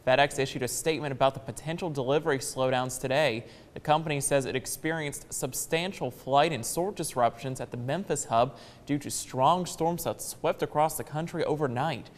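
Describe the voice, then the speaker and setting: A man's voice: a little high, normal speed, in a large hall. A man with an American accent reading scripted news as a television anchor. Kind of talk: scripted newscast delivery.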